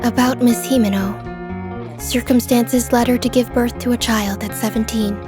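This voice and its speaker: A woman playing a polite, detached young observer in a manga dub, formal and deadpan. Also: fairly high pitch, moderate pace, over music, close to an everyday voice. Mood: matter-of-fact.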